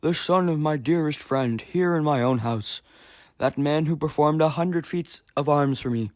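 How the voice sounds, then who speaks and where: A man's voice: a little high, moderate pace, darkish tone, no room sound, clean studio sound, pitched above the performer's own voice, lightly acted. A man voicing a hearty, nostalgic warrior king with earnest, formal declamation in an audiobook. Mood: fervent, wistful.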